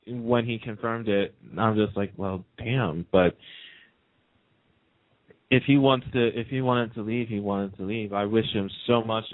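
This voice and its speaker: A man chatting casually as a radio guest in a hesitant, measured way. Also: lowish voice, fairly even intonation, dry recording. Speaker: radio personality as interview guest. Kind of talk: casual chat about television. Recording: dry, thin phone line.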